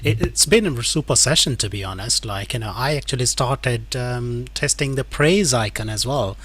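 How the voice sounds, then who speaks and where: A man's voice: medium pitch, expressive, moderate pace, a bit bright, slightly rough. A man hosting an online tech conference on a video call, chatting casually with frequent "like, you know" fillers. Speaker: event host.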